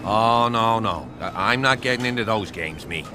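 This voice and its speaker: A man's voice: on the low side, moderate pace, natural voice. A man playing a harried, curt and dismissive police officer in a video game. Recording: noisy outdoor vehicle ambience.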